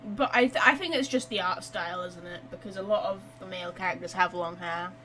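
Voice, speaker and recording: A woman streaming a video game with casual live reactions, talking in a rambling, excitable, blunt way. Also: on the low side, a little fast, a little hiss or hum, poor audio.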